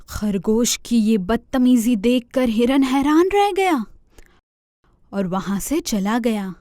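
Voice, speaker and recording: A woman narrating a children's cartoon fairy tale in an expressive storytelling manner, speaking to kids. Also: fairly high pitch, dry recording, studio quality.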